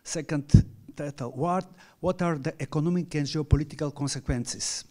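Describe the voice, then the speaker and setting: A middle-aged man with a French accent, a native French speaker, reading a structured academic lecture at a panel in halting English. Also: a little high, a little mellow. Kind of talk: academic conference talk. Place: large conference hall with audience.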